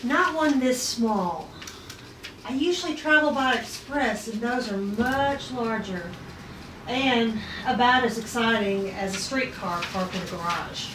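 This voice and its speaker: A woman's voice: fairly high pitch, unhurried, natural voice. A woman playing a young, dutiful but dryly sassy rocket navigator in a retro space adventure read-through. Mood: uneasy, dry. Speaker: actor at a script table read. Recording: echoey room, distant mic, noisy.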